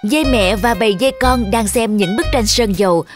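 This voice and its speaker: A woman's voice: on the high side, moderate pace. A woman narrator reading a scripted children's fairy tale. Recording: children's music behind the voice.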